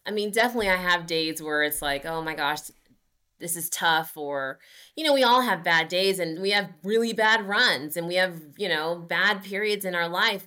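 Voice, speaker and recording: A woman's voice: fairly low pitch, bright, forceful tone. A woman in her forties, a guest on a podcast, talking earnestly and upbeat about her running, with frequent fillers. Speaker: guest, amateur marathon runner. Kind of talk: casual podcast interview.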